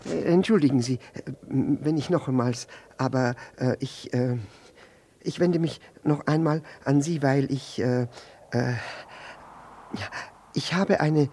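An actor playing a middle-aged gentleman in a radio play, fussy, over-apologetic and nervously insistent, stammering and repeating himself. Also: medium pitch, slow pace, a little hiss or hum. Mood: nervous, pleading. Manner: stammering, hesitant, repeats words anxiously. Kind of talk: 1960s German radio play acting.

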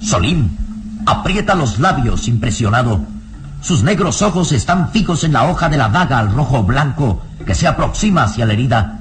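A man narrating a radio drama in a solemn, deliberate, dramatic scripted style. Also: medium pitch, big pitch swings, over music.

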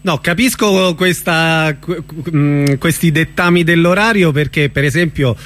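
A man hosting an informal radio interview, speaking haltingly and giving brief supportive replies. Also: fairly high pitch, fairly bright tone. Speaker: radio host.

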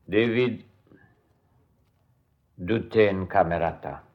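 An actor playing a cold, domineering, self-righteous husband in a radio play, pompous and stern, with deliberate theatrical delivery. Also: male voice, deep voice, vocal fry, lo-fi sound. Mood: cold, commanding. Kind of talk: 1950s radio theatre acting.

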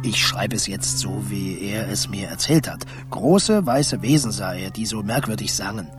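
A man's voice: fairly low pitch, animated, normal speed, natural voice. A man playing a chatty, proud, matter-of-fact swan who tells his story in clipped, colloquial phrases for a children's radio play. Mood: dreamy, gentle. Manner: colloquial, clipped, wry sentences. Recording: music under the voice. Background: music.

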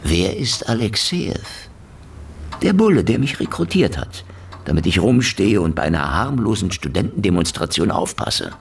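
An actor playing a cynical, wisecracking investigator in a German radio play, sardonic and needling in manner. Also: male voice, deep voice, big pitch swings, normal speed, creaky, a little hiss or hum, exaggerated voice. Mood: sardonic, probing. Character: cynical, wisecracking hard-boiled male investigator in Soviet Union.

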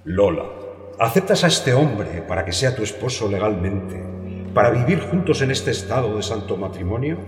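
An actor playing a kindly, solemn village priest in a radio drama, measured and paternal in manner. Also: male voice, on the low side, sing-song. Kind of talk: radio play acting.

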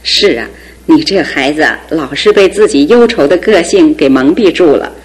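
A middle-aged mother in a radio play, doting and anxious over her son. Her voice runs a little low, tender and fussing, with gentle scolding. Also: lively intonation, moderate pace. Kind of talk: radio drama acting.

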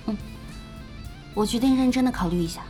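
A woman playing a composed, perceptive, competitive young gamer in a radio drama, calm and understated. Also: on the high side, brisk, close to an everyday voice. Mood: challenging, thoughtful. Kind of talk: Chinese radio drama acting. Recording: music behind the voice.

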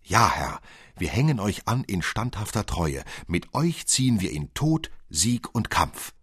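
A man voicing loyal toy soldiers in a fairy tale, shouting their fervent pledge loudly and vehemently. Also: on the low side, very animated, measured pace, slightly rough, pitched above the performer's own voice, full character voice.

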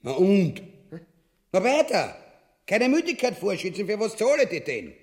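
A man's voice: high voice, wildly swooping pitch, measured pace, heavily acted. An actor playing a greedy, boorish usurper king and husband in a grotesque radio drama, blustering and commanding in an Austrian accent. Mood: impatient, bullying.

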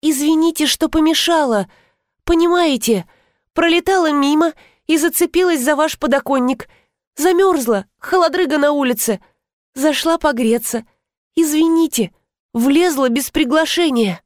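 Casting: narrator woman as a sweet costumed witch in a comic audiobook, high cooing voice, apologetic, unflappable. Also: moderate pace, no room sound, clean studio sound, lightly acted. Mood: sweetly apologetic.

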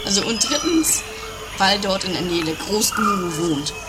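A woman's voice: mid-range voice, very animated, normal speed, very noisy, natural voice. A woman playing a solemn, easily offended, pompous guru in an amateur 1980s comedy radio play. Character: touchy, solemn guru devoted to his great master.

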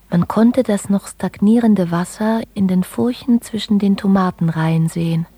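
An actress narrating a literary radio play as a quiet observer, hushed and measured. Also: female voice, mid-range voice, husky, no echo. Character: female narrator, quiet observer describing landscape.

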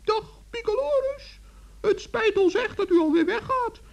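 A performer voicing a small, kindly, earnest forest gnome with a creaky voice in a children's radio play. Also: very high-pitched, animated, a little slow, lo-fi sound, full character voice. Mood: polite, concerned. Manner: earnest, admonishing, polite.

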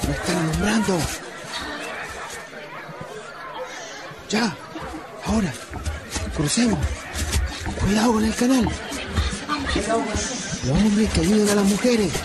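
Un hombre actuando de dirigente chileno de voz aguda, mandón. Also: male voice, sits high, a little slow, pauses often, over loud music, a loud crowd. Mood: urgent. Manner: commanding, short orders. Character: male leader of a night land occupation, urgent, comradely. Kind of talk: radio drama acting.

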